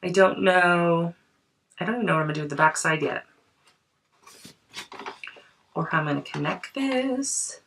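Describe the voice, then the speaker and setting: Woman vlogger, lowish voice, a bit off-mic, cold in her voice, chatty crafting talk. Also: fairly quick, gravelly, a bit bright, distant mic, a little hiss or hum. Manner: chatty, thinks aloud, frequent restarts. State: voice affected by a cold. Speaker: creativity coach. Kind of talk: casual crafting vlog.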